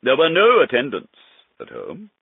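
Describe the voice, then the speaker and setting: A man's voice: mid-range voice, huge pitch swings, normal speed, vocal fry, breathy edge, no echo, narrow, tinny audio. A man narrating a gothic story in a theatrical, formal manner, through vintage radio sound.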